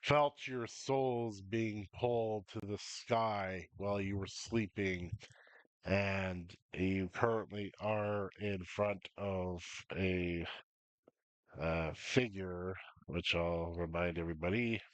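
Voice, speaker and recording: Slow, stuffy-nosed man running a tabletop game. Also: deep voice, steady tone, no room sound. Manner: rambling, many ums and uhs. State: stuffy nose and cough. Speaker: game master.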